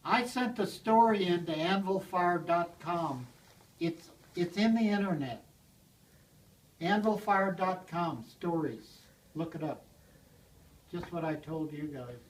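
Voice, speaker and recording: An older man, a blacksmith, casually telling a rambling story to a small group, chuckling now and then. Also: fairly high pitch. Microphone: handheld video camera. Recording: distant from the mic, roomy.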